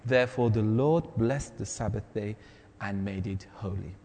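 A man's voice: fairly low pitch, normal speed, a little mellow. A man preaching as a pastor in a conversational, informal style to a congregation. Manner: informal, quizzing the listeners. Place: large reverberant church hall. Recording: long hall reverb.

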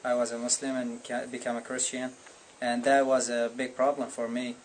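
A man with an Iraqi accent gives a personal testimony in simple, halting English. His pitch stays flat and even, and there is noticeable ambient noise on the recording. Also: low-quality recording. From Iraq. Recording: clear background ambient noise.

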